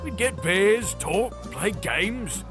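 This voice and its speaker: A man playing a bumbling, sheepish thug in an audio drama, halting and blustering. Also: high voice, very animated, full character voice. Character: bumbling, sheepish brutish ex-gang thug, Mary's brother. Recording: music under the voice.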